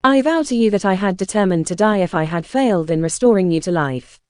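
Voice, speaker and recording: A woman's voice: mid-range voice, expressive, brisk, a little mellow, clean tone, no room sound, studio quality, lightly acted. A woman voicing a kind, devoted young woman with tender, earnest words in a storybook tale. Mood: anxious, loving.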